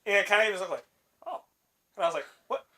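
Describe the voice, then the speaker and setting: A man's voice: sits high, fast talker. A young Canadian man chatting casually on a podcast, telling stories and acting out what people said, laughing often. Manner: animated storyteller, laughs often, acts out quoted lines. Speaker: podcast co-host. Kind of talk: casual chat.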